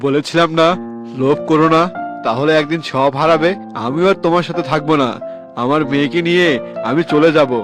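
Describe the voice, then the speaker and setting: A man's voice: on the high side, fairly even intonation, fairly quick, lightly acted. A man voicing a principled middle-aged father in a fairy-tale cartoon, in an admonishing manner. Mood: stern. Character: principled middle-aged father and husband, warns against greed. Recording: clear music under the voice.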